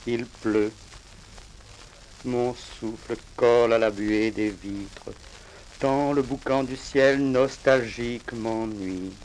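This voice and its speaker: A man from Reunion Island reciting his own poems in a solemn, measured, declamatory way with deliberate pauses, on a crackly vinyl record. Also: medium pitch, steady tone, darkish tone. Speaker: poet reading own work.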